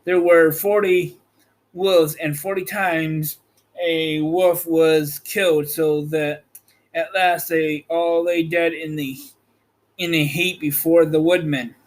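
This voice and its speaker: A man narrating a storybook aloud as host, in a measured, slightly halting read. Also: fairly high pitch, fairly even intonation. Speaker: host reading an audiobook chapter.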